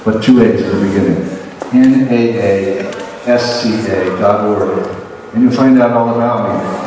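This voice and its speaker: A man's voice: on the low side, darkish tone, slight background noise. A man who founded an advocacy group gives an emphatic speech to a live audience, repeating his key points. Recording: far from the mic in an echoey hall with a crowd.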